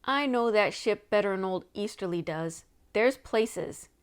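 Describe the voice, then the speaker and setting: Gruff wiry seaman for a children's mystery, defiant, folksy, evasive female narrator doing the part. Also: medium pitch, expressive, a little slow, close to an everyday voice. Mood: grudging, boastful. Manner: grunting, uneducated folksy speech. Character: gruff, wiry, grizzled male sailor, shifty and defiant. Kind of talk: storybook read-aloud character voice.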